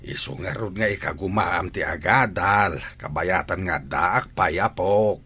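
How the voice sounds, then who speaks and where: An older man playing a stern, earnest grandfather in a radio drama, with a husky voice. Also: deep voice, unhurried, sharp tone, exaggerated voice. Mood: earnest urging. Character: stern elderly grandfather, earnest about his granddaughter's schooling.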